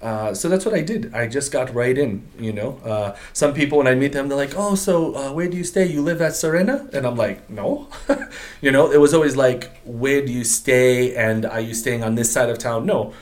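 Relaxed Portuguese-born man telling his story. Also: mid-range voice, moderate pace. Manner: relaxed storytelling with many fillers and restarts, slangy. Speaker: guest, business owner. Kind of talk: casual interview chat.

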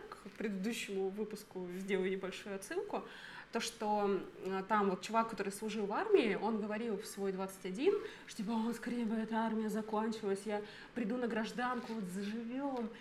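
A woman's voice: mid-range voice, brisk, slight background noise. A woman of thirty co-hosting a podcast, explaining her thoughts at length in casual chat. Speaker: podcast co-host.

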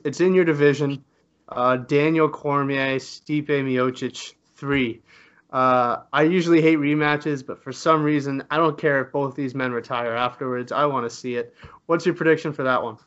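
A male interviewer poses his questions in a relaxed, conversational manner, stumbling a little and restarting his sentences as he goes. His voice has an ordinary middle pitch and comes through a dull, muffled recording. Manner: conversational, halting with fillers.

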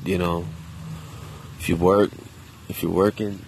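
Deep-voiced guy mumbling a quiet hesitant monologue out in a park, cars faint in the background. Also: little pitch movement, a little slow, gravelly, a little hiss or hum, low-quality recording. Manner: quiet, subdued, hesitant with many restarts; loose and conversational with casual swearing. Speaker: vlogger. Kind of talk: casual solo monologue. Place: outdoors in a park.

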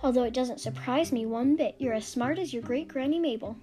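A woman's voice: high-pitched, a little fast, mellow, lightly acted. A woman voicing a cheerful, proud father praising his daughter.

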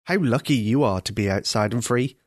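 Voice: medium pitch, brisk, no room sound, studio quality, lightly acted. A storyteller voicing a young imprisoned prince who speaks formally and earnestly. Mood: despairing.

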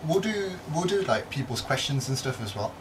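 A man's voice: mid-range voice, very animated, fairly quick. A man co-hosting a casual tech podcast, laid-back, often stumbling and restarting his sentences. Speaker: podcast co-host. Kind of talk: casual chat. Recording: distant mic with faint noise.

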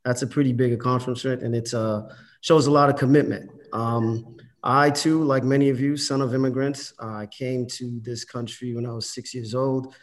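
A man's voice: mid-range voice, fairly even intonation, normal speed. A man in his forties from Haiti giving an earnest, rambling introduction with many fillers on a video call panel. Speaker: panelist, investment banker.

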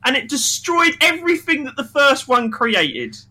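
A man's voice: extremely high pitch, very animated, moderate pace, pushed and bright. A man co-hosting a casual film review podcast, giving his opinions emphatically and repeating phrases for stress.

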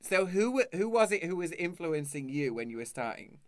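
A man's voice: fairly high pitch, sing-song, fairly quick, no echo, studio quality. A man in his thirties hosting a camp, chatty video-call conversation and laughing often. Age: 30s. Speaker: host. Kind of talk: casual chat over video call.

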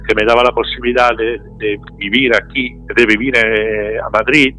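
Hesitant Italian man, low voice. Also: fairly even intonation, moderate pace, pushed and bright, soft background music, low-quality recording. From Italy. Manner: hesitant, many fillers and restarts. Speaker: interview guest. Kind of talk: radio interview.

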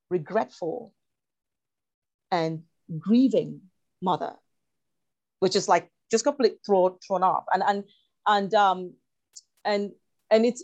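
A woman from Cameroon talking reflectively as an interview guest, halting and repeating phrases as she searches for her words. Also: on the low side, darkish tone. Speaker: interview guest, writer and actor.

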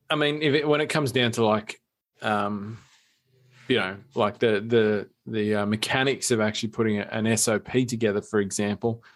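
An Australian man co-hosting a podcast, chatting casually with dry jokes and a halting delivery. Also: on the low side, fairly bright tone. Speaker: podcast co-host. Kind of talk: casual chat.

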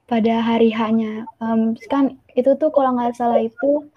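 A woman's voice: on the high side, fairly even intonation, normal speed. A teenage schoolgirl with an Indonesian accent answering interview questions in casual chat, on a muffled recording. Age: teenage student. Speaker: student interviewee. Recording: muffled lo-fi audio.

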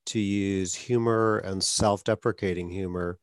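A man's voice: sits low, fairly even intonation, a little slow, dry recording, clean studio sound. A man hosting an interview, a speech clinician who speaks earnestly and advocates, with occasional stuttered blocks. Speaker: podcast host, speech clinician. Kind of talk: conversational interview.

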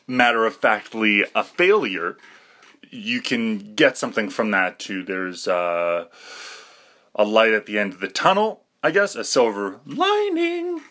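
A man's voice: fairly low pitch, fairly bright tone. A young man talking through a rambling, self-deprecating podcast monologue, full of fillers and restarts. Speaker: podcast host.

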